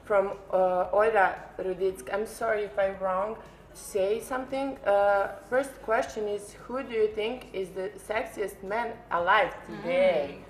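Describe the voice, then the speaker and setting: A woman with halting non-native English, chatting casually on a pageant live stream. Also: medium pitch, fairly even intonation. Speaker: pageant contestant reading fan questions. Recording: echoey room with faint noise.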